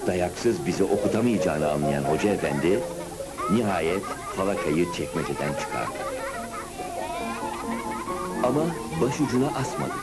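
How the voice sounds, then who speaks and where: An actor narrating a cartoon as a grown man recalling his boyhood school days, in an expressive, scripted storybook manner. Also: low voice, measured pace, pauses often, loud music behind the voice, over a noisy crowd. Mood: animated.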